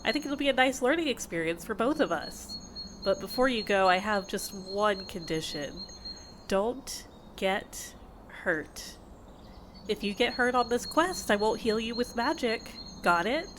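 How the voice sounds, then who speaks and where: A woman playing a possessive, condescending fantasy healer in an ASMR audio roleplay, with a measured, intimate, teasing and reproachful delivery. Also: mid-range voice, noisy background, close to an everyday voice. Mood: smug, stern. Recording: insect and bird ambience behind voice. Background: outdoor nature ambience with crickets and birds.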